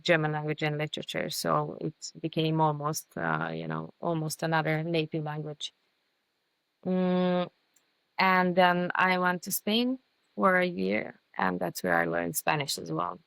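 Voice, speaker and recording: A woman's voice: on the low side, vocal fry, fairly bright tone, no room sound, low-quality recording. A young woman with Hungarian and Serbian as her first languages, chatting thoughtfully as a podcast guest and often restarting words. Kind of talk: casual interview chat.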